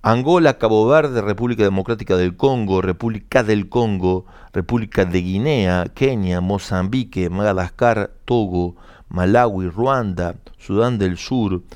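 A middle-aged Argentine man hosts a live radio interview in a low voice. His delivery is encouraging and he readily fills in words for his guest. The sound is close and dry, with no room around it. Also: a little slow, a little mellow, smooth, no room sound. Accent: Rioplatense Spanish. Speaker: radio host.

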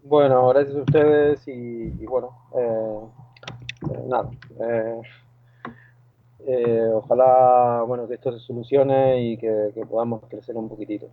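A man's voice: mid-range voice, level tone, unhurried, slight background noise. A man from Argentina with an Argentine accent, a guest talking hesitantly with many fillers and restarts over the phone. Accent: Argentine Spanish. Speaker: guest, lifeguard and boat skipper. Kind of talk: phone interview. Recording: tinny phone line.

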